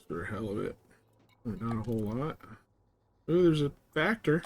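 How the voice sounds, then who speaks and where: Man, live game streamer, medium pitch, casual chat, rambling, thinking aloud. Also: expressive, moderate pace.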